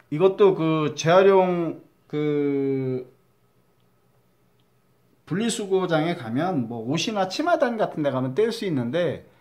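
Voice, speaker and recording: Middle-aged man, Chungcheong accent, fairly high voice, chatty crafts teacher walking through a build. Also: a little mellow. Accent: Chungcheong Korean. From Chungcheong, Korea. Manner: chatty, repeats key words, drops to a mutter while measuring. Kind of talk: step-by-step craft demonstration.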